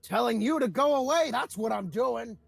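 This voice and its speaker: A man's voice: very high-pitched, lively intonation, normal speed, vocal fry, slightly rough, no echo, pitched above the performer's own voice, full character voice. A man voicing a grumpy, irritable talking door guardian in a tabletop game, complaining in an exasperated manner.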